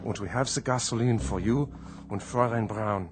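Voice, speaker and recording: An actor playing a cold, grim wartime military aide in a radio drama, solemn and clipped. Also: male voice, fairly low pitch, normal speed, music in the background, lightly acted.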